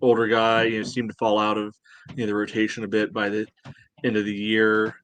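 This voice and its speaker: A man co-hosting a casual sports podcast, giving his take in a dry, deadpan way. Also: fairly low pitch, brisk. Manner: dry, dull deadpan delivery. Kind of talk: casual panel commentary.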